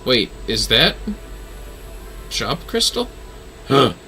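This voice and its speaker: Bright, forceful man livestreaming. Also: medium pitch, big pitch swings, extremely slow, creaky, faint music behind. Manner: apologetic, informal, sweary. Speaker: livestream host playing a video game. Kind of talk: casual livestream chat.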